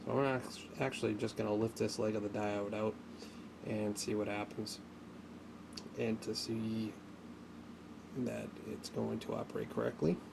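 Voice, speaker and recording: A man giving an unscripted hands-on tutorial, speaking haltingly as he thinks aloud while working, as a hobbyist would. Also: lowish voice, little pitch movement, creaky. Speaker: hobbyist demonstrating an electronics repair. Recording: noticeable background noise.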